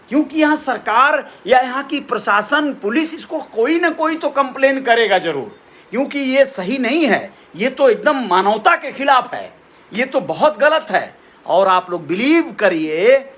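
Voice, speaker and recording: A man's voice: very high voice, a bit bright. A middle-aged man gives an informal, earnest opinion monologue to viewers, repeating phrases for emphasis. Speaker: vlogger giving opinions.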